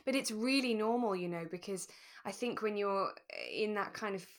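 A woman in her thirties, co-hosting a podcast in relaxed chat and telling personal stories in an animated way. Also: medium pitch, moderate pace. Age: 30s. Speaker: podcast co-host.

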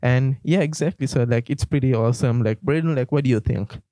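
Relaxed young man, mellow voice. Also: medium pitch, a little fast, dry recording. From Sri Lanka. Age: young adult. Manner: relaxed, uses "like" a lot. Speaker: podcast co-host. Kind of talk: casual chat.

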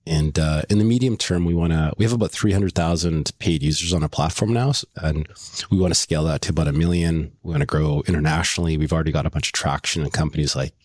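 Casting: middle-aged co-founder, very low husky voice, eager storyteller, dry sound. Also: male voice, fast, no room sound. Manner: animated storyteller, enthusiastic pitch. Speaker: company co-founder. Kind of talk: casual podcast chat.

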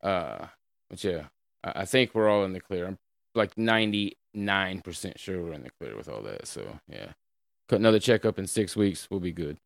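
A man hosting a livestream show, chatting casually with a co-host and reading out donations. He swears a lot, laughs often and trails off quietly at the end of sentences. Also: low-pitched, expressive, moderate pace, vocal fry, dry recording, studio quality. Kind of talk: casual chat.

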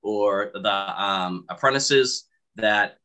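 A man's voice: fairly low pitch, slow, bright, forceful tone. A man with a thick Boston accent, a sprinkler fitter guest chatting bluntly on a podcast and telling stories about work. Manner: blunt, storytelling, quotes himself. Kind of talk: casual podcast chat.

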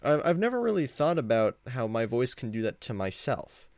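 A man with a deep voice hosting a casual solo podcast, talking in a rambling, conversational and self-deprecating way. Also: very animated, moderate pace, a little mellow, no room sound. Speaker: podcast host.

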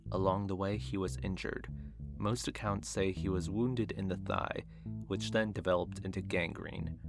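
A man hosting a podcast, narrating history from a script with wry, jokey asides. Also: deep voice. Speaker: podcast host. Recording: music bed behind the voice. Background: guitar music.

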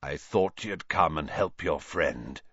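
A man voicing a gaunt adult male fugitive wizard, hoarse and croaky, with slow, quiet menace in an audiobook story. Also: a bass voice, expressive, dry recording, muffled recording, voice pushed down, full character voice. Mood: taunting.

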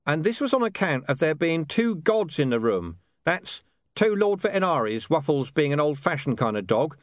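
A man voicing a streetwise talking dog in an audiobook, bossy, wry and quick to explain. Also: on the high side, very animated, dry recording, clean studio sound, pitched above the performer's own voice. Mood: explanatory. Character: gruff streetwise talking dog speaking from the shadows. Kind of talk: audiobook character voice.

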